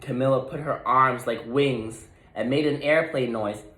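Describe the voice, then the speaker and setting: A man narrates a children's storybook expressively. He takes it slowly, in a medium-pitched voice. The room adds a clear echo. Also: a bit bright. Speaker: storybook reader.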